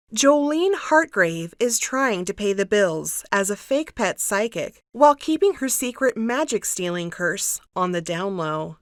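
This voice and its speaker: A woman's voice: mid-range voice, no room sound, clean studio sound. A woman narrating a scripted book blurb as a promotional teaser.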